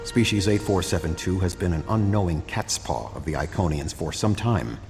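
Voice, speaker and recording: An actor playing a grave, logical alien statesman in a video game, speaking in a measured, formal way. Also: sits low, animated, music in the background. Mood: grave, analytical. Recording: reverberant game audio, faint background hum.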